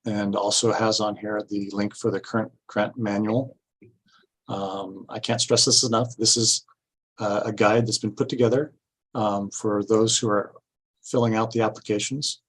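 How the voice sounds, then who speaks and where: A man giving an informational presentation at a public meeting, explaining things step by step in a halting way. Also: fairly low pitch, little pitch movement, rough recording. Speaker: city staff presenter at a grant orientation.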